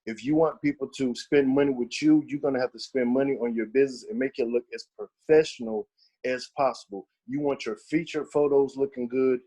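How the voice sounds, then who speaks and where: A man's voice: medium pitch, steady tone, fairly quick, warm tone, breathy edge. Guy running a team training call, chatty, lots of ums. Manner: conversational, lots of fillers. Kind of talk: casual group training talk.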